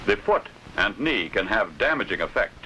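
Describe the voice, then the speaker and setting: An American man delivers formal, scripted instructional film narration in an authoritative, deliberately enunciated way, on an old film soundtrack. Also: on the low side, sing-song, background noise.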